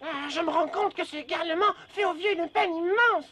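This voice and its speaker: A woman voicing an excitable, gossipy little cartoon neighbour with a chattering, exclamatory manner. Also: extremely high pitch, normal speed, a crowd in the background. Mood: excited. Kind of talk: animated cartoon dub acting. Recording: music under the voice. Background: background music.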